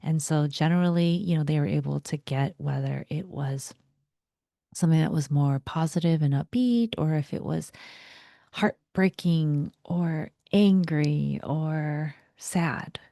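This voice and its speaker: A young woman whose first language is Vietnamese, giving a reflective guided talk in a soft voice that often slips into a breathy whisper. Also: deep voice, a little slow, no echo, clean studio sound. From United States. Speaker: vlogger.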